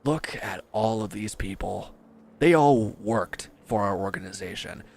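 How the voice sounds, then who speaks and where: A man's voice: fairly low pitch, measured pace, vocal fry, a little mellow, slight background noise. A man playing a bitter, wounded villain who is grieving and accusing, speaking with weary sarcasm in a scripted audio roleplay addressed to the listener. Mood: sardonic, accusing.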